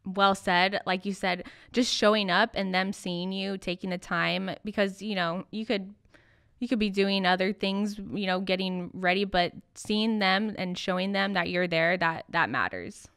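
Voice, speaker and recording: A woman's voice: mid-range voice, unhurried, a bit bright, clean tone, no room sound, clean studio sound. A woman working as a podcast interviewer, speaking casually in an agreeable, affirming way.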